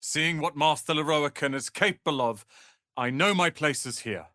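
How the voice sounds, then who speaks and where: A man's voice: fairly high pitch, normal speed, bright, forceful tone, no echo, clean studio sound. A man playing a proud young wizard's apprentice in a fantasy video game, speaking in a pompous, articulate, theatrical manner.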